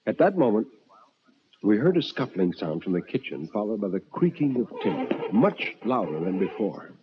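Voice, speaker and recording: A man's voice: lowish voice, big pitch swings, normal speed, slightly rough, lo-fi sound. A man narrating a first-person ghost story as a dramatic storyteller, theatrical and full of suspense.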